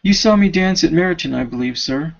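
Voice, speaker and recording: A man reading the part of an affable, chatty English gentleman in a novel, with formal, courtly, wordy phrasing. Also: a little high, expressive, fairly quick, creaky. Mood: inquisitive. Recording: dull lo-fi home recording.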